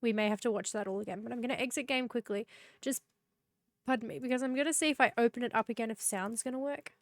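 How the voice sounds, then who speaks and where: A woman's voice: fairly high pitch, fairly even intonation, fast, no room sound, clean studio sound. A woman streamer reading game dialogue aloud while playing, a bit sniffly from a cold, talking into a headset. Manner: chatty, reads quest text in character.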